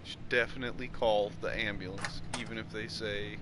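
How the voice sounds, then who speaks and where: A man's voice: lowish voice, little pitch movement, brisk, background noise. A man hosting a casual game playthrough with joking, wry asides. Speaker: host of a gaming show. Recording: loud game music behind voice.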